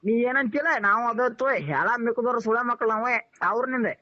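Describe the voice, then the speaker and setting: Very high-pitched irritated man on the phone. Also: fast talker, no room sound. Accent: North Karnataka Kannada. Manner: irritated, confrontational, swearing. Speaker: prank call recipient. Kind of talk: heated phone argument. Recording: dull lo-fi phone line.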